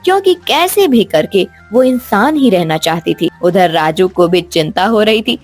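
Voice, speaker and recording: A woman's voice: mid-range voice, expressive, normal speed, darkish tone, soft background music. A woman reading scripted narration for a children's cartoon story.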